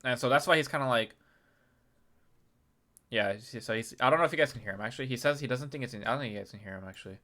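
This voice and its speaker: Male video host, bright nasal tone, under the weather, casual and chatty breakdown of a match. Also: medium pitch, fast, long pauses. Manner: chatty, clears throat often, restarts. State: sick, slightly nasal. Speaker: YouTube host analyzing a game replay. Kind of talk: casual game analysis commentary.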